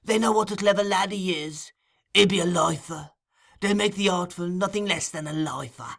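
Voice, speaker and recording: A man's voice: high-pitched, moderate pace, creaky, fairly bright tone, voice pushed up, heavily acted. A man voicing a scheming old male thief-master who wheedles and keeps calling people "my dear.". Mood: wheedling.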